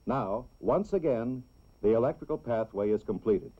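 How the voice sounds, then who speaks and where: Casting: male narrator for a children's instructional film, measured and explanatory, dull vintage soundtrack sound. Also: mid-range voice, lively intonation. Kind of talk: scripted educational film narration.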